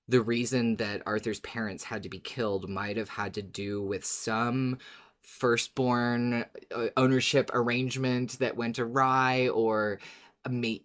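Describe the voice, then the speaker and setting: A podcast co-host chatting casually, theorizing out loud in an informal, wry way with some swearing. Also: mid-range voice. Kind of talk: casual fan discussion podcast.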